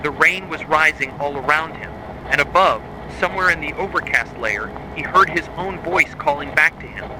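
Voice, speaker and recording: A man reading a scripted horror narration styled as an official emergency bulletin, in a grave, measured, authoritative way. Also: fairly high pitch, noisy background. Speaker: narrator of a mock emergency broadcast.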